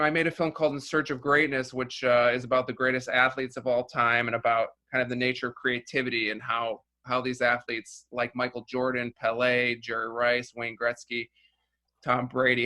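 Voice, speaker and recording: Guy whose first language was russian, bright forward voice, rambly "you know" interview chat. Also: medium pitch. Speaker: filmmaker guest. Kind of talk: casual interview talk.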